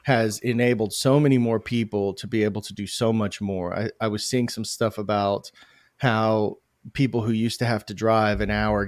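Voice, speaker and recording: Male guest, low voice, animated and emphatic, podcast interview, dry close sound. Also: dry recording. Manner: animated, emphatic, self-correcting. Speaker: crypto project founder.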